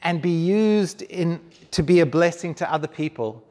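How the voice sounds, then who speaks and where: A man's voice: on the high side, normal speed, dark tone. A man from Zambia, a guest speaker telling his life story with self-deprecating humor in an onstage interview. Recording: long hall reverb.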